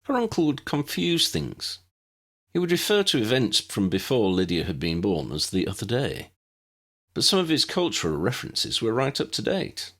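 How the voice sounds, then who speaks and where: A man reading a scripted fantasy story as an audiobook narrator, in a measured, expressive storybook manner. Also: mid-range voice.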